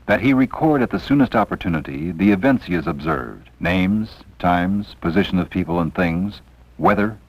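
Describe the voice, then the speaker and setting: Deep-voiced formal male narrator. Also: gravelly, no echo, low-quality recording. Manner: formal, measured, emphatic phrasing. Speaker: training film narrator. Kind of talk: scripted documentary narration. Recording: 1970s training film soundtrack.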